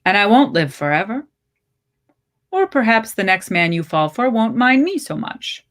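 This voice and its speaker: A possessive, controlling man in a short story, voiced by a woman narrator. He speaks in a measured, cool way and does not give an inch. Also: on the high side, very animated, a bit bright, voice pushed up. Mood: smug calm. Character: calm, possessive, controlling male lover, coolly insistent.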